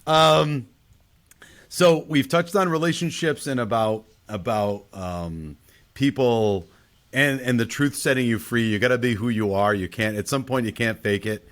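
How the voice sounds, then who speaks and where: A male podcast host with an average-pitched voice. He talks casually about film in a conversational style and passes questions on to the other speakers. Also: unhurried, fairly bright tone.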